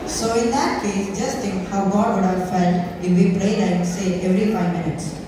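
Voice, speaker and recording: A woman's voice: medium pitch, normal speed, lightly acted. A teenage girl playing a parish priest who preaches to the audience in an amateur church skit. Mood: preachy, earnest. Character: patient young parish priest preaching about prayer. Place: large church hall stage, filmed from audience. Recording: echoey hall from far off, noisy crowd.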